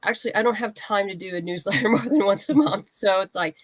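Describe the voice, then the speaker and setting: A woman's voice: medium pitch, expressive, quick pace, no room sound. Woman with a dry, close studio sound. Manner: practical, conversational advice-giving. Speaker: guest writer and comics creator. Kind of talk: casual interview chat.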